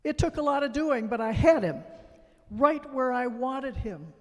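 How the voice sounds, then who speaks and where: A man performing a dramatic church reading as Doubt, a brooding, frustrated tempter, in a deliberate, emphatic delivery. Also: very high-pitched, animated. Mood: gloating, brooding. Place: large church sanctuary. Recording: long reverberant church hall echo.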